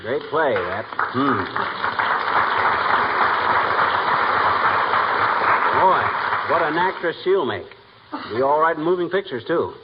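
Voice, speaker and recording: An actor playing a cocky, lazy small-town American husband in a 1940s radio comedy, folksy, slangy and boastful. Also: male voice, fairly high pitch, big pitch swings, moderate pace. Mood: mocking. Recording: noisy, muffled 1940s radio recording.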